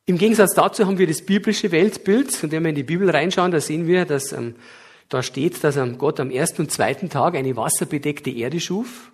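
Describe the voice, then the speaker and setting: A man's voice: fairly high pitch, a little fast, slightly rough. A middle-aged man with a light Bavarian accent giving a public lecture, explaining earnestly with occasional hesitations. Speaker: guest lecturer.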